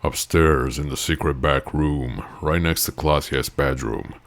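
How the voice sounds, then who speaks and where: A man's voice: very deep voice, fairly even intonation, measured pace. A man whose first language is Italian, reading game dialogue aloud in a let's play, playful and swearing casually. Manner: playful, laughs at his own lines, swears casually. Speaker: gamer.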